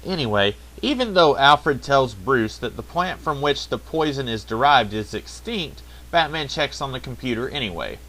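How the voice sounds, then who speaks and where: A man's voice: mid-range voice, expressive, moderate pace, hardly any pauses, sharp tone, rough recording. A man narrating a scripted review, with wry, sarcastic asides. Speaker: video reviewer.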